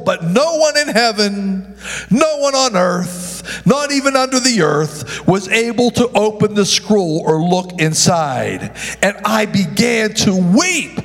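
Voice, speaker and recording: Slow preaching pastor in echoing hall. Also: male voice, high-pitched. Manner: preaching with call-and-response to the congregation; stumbles and restarts, corrects himself aloud. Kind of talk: sermon. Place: church sanctuary. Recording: long echo of a large hall.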